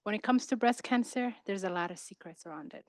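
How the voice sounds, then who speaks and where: A woman's voice: medium pitch, brisk, darkish tone, no echo. A woman in the audience, a nurse practitioner, speaking in a heartfelt, searching way. Her voice sounds dry and close. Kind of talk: asking a question from the audience.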